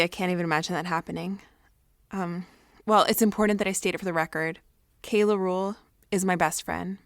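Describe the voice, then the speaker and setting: A woman playing an excitable, self-important teenage girl who rambles dramatically through a confessional audio diary. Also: medium pitch, no echo, studio quality, close to an everyday voice. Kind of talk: confessional audio diary monologue, naturalistic acting.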